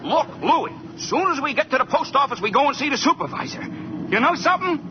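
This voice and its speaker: Excitable, high-pitched New York postal worker. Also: male voice, fast. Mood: excited. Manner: slangy, animated, wisecracking. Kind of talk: 1940s radio comedy-drama acting. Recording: noisy, dull 1940s radio broadcast.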